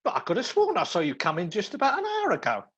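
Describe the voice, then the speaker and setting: Man playing a cheeky undercover detective, very animated. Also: high-pitched, fast, husky, full character voice. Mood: breezy, teasing. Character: cheeky, persistent young male undercover detective posing as greengrocer. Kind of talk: live radio play acting.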